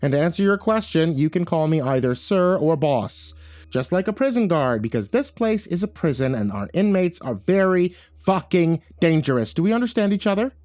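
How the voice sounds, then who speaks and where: A man's voice: on the high side, sing-song, moderate pace, darkish tone, dry recording, voice pushed up. A hulking, surly woman caretaker from an audiobook, acted by a male narrator. She talks bluntly, with a smug sneer and casual swearing. Nothing about her is deferential. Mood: surly, firm. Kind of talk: audiobook character voice.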